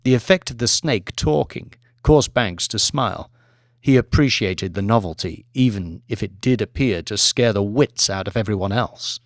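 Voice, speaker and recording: Measured male audiobook narrator, dry sound. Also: on the low side, no room sound. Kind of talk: scripted dramatic fiction narration.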